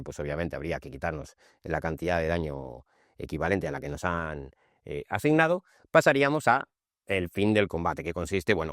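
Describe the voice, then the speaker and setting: A low-pitched man with an accent from Spain hosts an informal game tutorial. He explains steps in a conversational way, adding short checks for understanding. The sound is dry, with very little room echo. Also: fairly bright tone. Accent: Spanish from Spain. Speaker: board game channel host.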